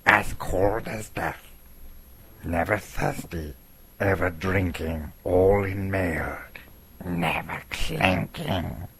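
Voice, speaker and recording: A man voicing a sneaky, hungry old cave creature with a hissing, rasping whisper and a slow, menacing, drawn-out delivery in a storybook reading. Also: sits low, fairly even intonation, fairly bright tone, heavily acted. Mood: sinister riddling.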